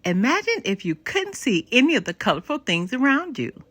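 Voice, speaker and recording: A kindly storybook grandmother, as a woman reads her, full of delight and encouragement. Her voice is bright and swoops expressively as she gently teaches, stressing her words. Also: high voice, sing-song, moderate pace, dry recording, pitched above the performer's own voice, full character voice. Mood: gently teaching. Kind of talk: children's storybook read-aloud.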